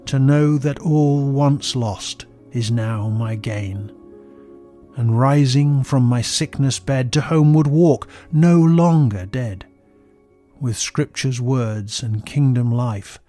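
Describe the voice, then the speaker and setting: A man reading a scripted story and poetry in a measured, dramatic, reverent way, as a narrator. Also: mid-range voice, warm tone, soft background music. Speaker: narrator and poet reading own work.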